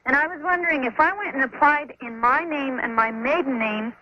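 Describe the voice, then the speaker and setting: A woman's voice: high voice, a little fast. An American woman calling a radio show by telephone, casually and a little tentatively explaining her question. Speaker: caller to radio advice show. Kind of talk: casual phone conversation. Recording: muffled noisy phone line on radio.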